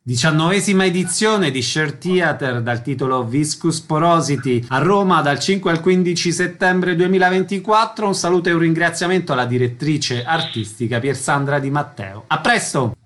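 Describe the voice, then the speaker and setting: A man hosts an arts segment on the radio, delivering introductions and questions in a brisk, formal way. His voice sits somewhat high for a man. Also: a bit bright. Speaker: radio host. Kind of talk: radio interview.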